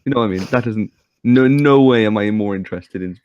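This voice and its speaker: A man's voice: on the low side, fast talker, a little mellow, no room sound, highs cut off. A man from Wales with a Welsh accent, co-hosting a livestream in casual chat and teasing the others with a joking manner. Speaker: streamer host.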